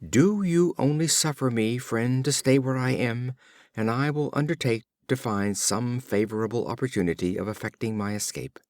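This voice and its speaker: A man's voice: medium pitch, normal speed, a little mellow, dry recording, studio quality, natural voice. A man voicing a hunted stag in a storybook fable, entreating in formal words yet hopeful. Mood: pleading.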